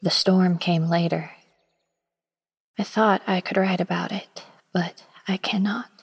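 A woman playing a proper, obedient young schoolteacher who reads her diary in formal, old-fashioned, measured diction as horror narration. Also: on the low side, steady tone, slightly rough. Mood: anguished. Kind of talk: dramatic first-person audio horror narration. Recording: studio narration over prominent music.

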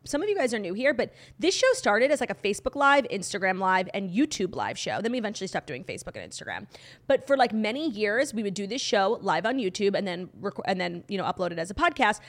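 Woman, podcast co-host, a little hoarse, gushing, quick "like"-filled chatter. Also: mid-range voice, sing-song. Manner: gushing, fast, heavy use of "like". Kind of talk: casual chat.

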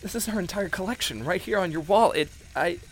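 A man playing a grieving widower and recovering alcoholic in a radio play, stammering and halting with emotion. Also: high-pitched, lively intonation, slight background noise, poor audio. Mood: stunned, awed.

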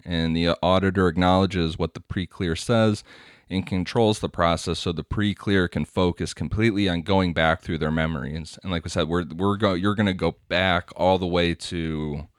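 Deep-voiced man reading notes on a podcast. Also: fairly even intonation, moderate pace, clear, resonant tone, dry recording, studio quality. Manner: laughs often while explaining. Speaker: podcast co-host. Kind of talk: casual podcast chat, reading quotes aloud.

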